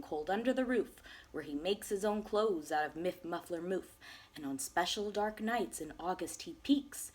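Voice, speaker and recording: A woman's voice: on the low side, husky, rough recording. A woman teacher reading a rhyming children's storybook aloud with plenty of expression.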